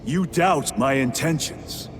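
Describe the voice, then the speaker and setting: An actor playing a resolute male space marine lieutenant who speaks in terse, measured statements. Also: medium pitch, noisy background, natural voice. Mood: steady. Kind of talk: game cutscene dialogue. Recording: game audio with score underneath.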